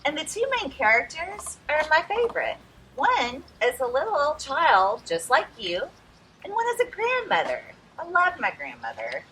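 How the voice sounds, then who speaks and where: A woman reading a picture book aloud outdoors to young children, expressive and addressing them directly as friends. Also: high voice, moderate pace, low-quality recording. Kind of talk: animated read-aloud storytelling for young children. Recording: some background noise, outdoor ambience.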